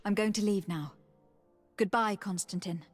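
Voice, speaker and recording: An actress playing a caring young noblewoman and envoy in a video game, speaking in an earnest, measured, formal way. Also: mid-range voice, breathy edge, soft background music, natural voice. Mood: concerned, polite. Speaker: voice actor. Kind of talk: video game cutscene dialogue.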